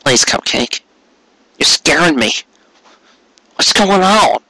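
A man's voice: on the high side, expressive, takes it slowly, pushed and bright, dry recording, pitched above the performer's own voice, exaggerated voice, poor audio. A man voicing an earnest, anxious male cartoon pony husband, hesitant and eager to explain, in a story reading.

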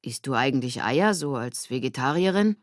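Woman playing a blunt german schoolboy, offhand, lowish voice, kids audiobook, no echo. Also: expressive, moderate pace, studio quality. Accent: standard German. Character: gruff, reluctant schoolboy, casual and blunt.

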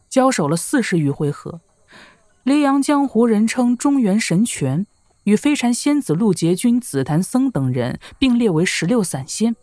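A woman's voice: medium pitch, a little mellow, no echo, studio quality. A woman playing a deadly, sardonic young swordswoman in a Chinese wuxia audio drama, speaking in a terse, matter-of-fact way.

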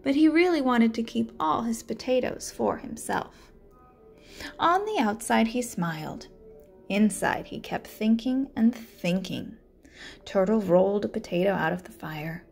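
A woman's voice: fairly high pitch, expressive, measured pace, vocal fry, a little mellow, soft background music. A woman reading a children's story aloud as a learning coach, introducing and narrating it.

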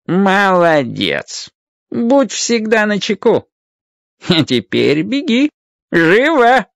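A man's voice: sits high, wildly swooping pitch, drawn-out words, creaky, a bit bright, dry recording, studio quality, pitched above the performer's own voice, full character voice. A man voicing a little old magical guardian in a radio play, a stern but kindly mentor who scolds and lectures emphatically. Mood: encouraging, commanding.

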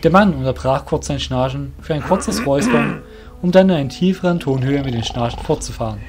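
A man narrates an audio drama from a script. His voice is medium in pitch, with soft music underneath. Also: lively intonation, a little fast.

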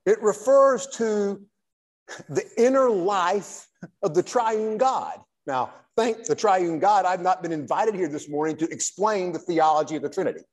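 A man in his sixties, a Baptist pastor, giving a church lecture in a deliberate, emphatic preacher's delivery with pauses. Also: high voice. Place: large church hall, speaking at a lectern. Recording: reverberant church hall.

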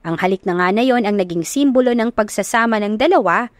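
A woman with a Filipino accent narrates a romantic audiobook. Her delivery is scripted and dramatic, at a middle pitch, and the sound is very dry with no room around it. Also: fast talker, dry recording.

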